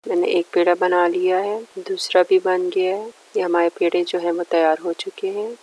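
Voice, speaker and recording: A woman's voice: on the low side, little pitch movement, normal speed, a little mellow, dry recording, rough recording. A woman narrating a recipe step by step in a plain, instructional way. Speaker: cooking show host.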